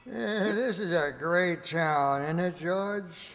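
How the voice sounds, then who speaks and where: An actor playing a smooth, placating agent who coaxes a guest with a hesitant manner in a radio play. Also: male voice, sits high, slow, a bit bright, a little hiss or hum, exaggerated voice. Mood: coaxing, genial. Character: smooth, coaxing male government agent plying a guest. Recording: reverberant hall.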